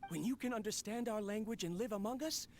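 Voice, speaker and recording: A wistful, earnest lone inventor. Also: male voice, high voice, fast talker, darkish tone, slight background noise. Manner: earnest, wistful monologue to his robot. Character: lonely, idealistic male inventor, young adult. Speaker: exiled researcher in a game.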